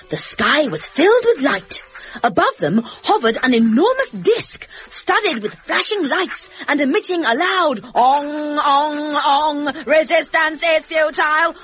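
A woman's voice: sits high, highs cut off. A woman playing a novelist reading her overwrought romance draft in a theatrical storybook manner.

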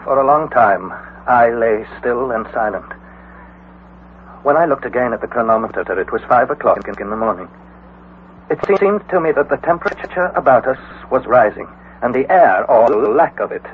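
A man's voice: mid-range voice, lively intonation, moderate pace, close to an everyday voice. An actor playing an earnest young man who narrates his adventure in a dramatic storytelling manner. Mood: reflective narration. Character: young male nephew narrator, earnest and anxious. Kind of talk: 1940s radio acting. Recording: tinny old radio broadcast with faint hiss.

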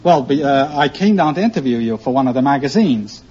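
A man's voice: medium pitch, animated, fairly quick, darkish tone. A man, an articulate, earnest quiz show contestant telling stories on an old broadcast recording. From New York City, born in Austria. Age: 50s. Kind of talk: conversational storytelling in an interview. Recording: muffled, noisy vintage broadcast recording.

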